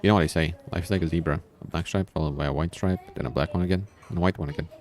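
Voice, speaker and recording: A man's voice: low-pitched, rapid-fire, a little mellow, close to an everyday voice. A man reading the part of a friendly, encouraging bartender in an easygoing, folksy way for a visual novel.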